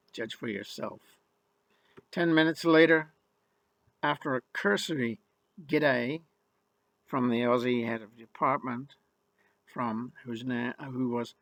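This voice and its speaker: A man's voice: mid-range voice, fairly bright tone, no echo, poor audio. An older man from Ireland telling his own life story in the first person, in a wry, rueful and emphatic way. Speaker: memoir narrator.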